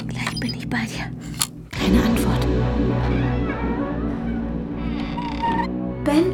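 An actress playing an anxious, determined woman in a radio drama, narrating intimately and urgently, often dropping to a hush. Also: mid-range voice, creaky. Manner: urgent, often dropping into a hushed near-whisper. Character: anxious, determined young woman searching for her missing partner.

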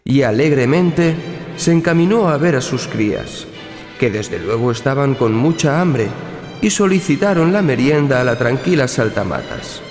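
A male narrator reads a children's tale from a script at a medium pitch. His voice echoes with a long reverb, and faint music plays behind him. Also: very animated, normal speed, a little mellow.

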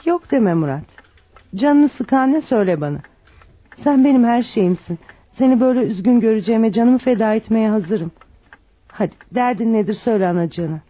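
A woman's voice: on the high side, animated, moderate pace, warm tone, low-quality recording. An actress playing a devoted, self-sacrificing widowed mother who works as a maid, pleading tenderly and emphatically in a radio drama. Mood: worried, coaxing. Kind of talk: scripted radio drama acting.

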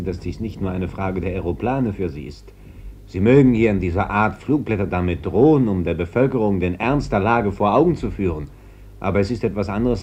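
Earnest, low-voiced priest. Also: male voice, a little mellow, husky, muffled recording. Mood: guarded. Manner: measured, preacherly, formal. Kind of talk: German radio play acting.

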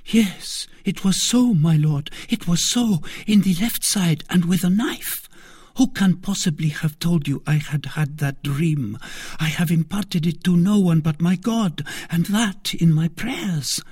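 Woman playing a frightened queen, hushed, breathy, slow, warm and husky, dry close mic. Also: fairly low pitch, no room sound. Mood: anguished. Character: troubled queen, torn and fearful. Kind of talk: dramatic audiobook reading.

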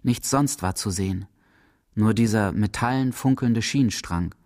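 Low-voiced man narrating. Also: unhurried, darkish tone. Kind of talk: literary radio drama narration.

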